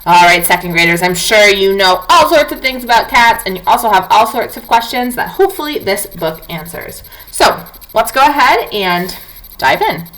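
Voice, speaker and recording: A woman's voice: mid-range voice, big pitch swings, normal speed, a bit bright, poor audio. A woman teacher reading a non-fiction book aloud to young children, talking to them directly with questions and prompts.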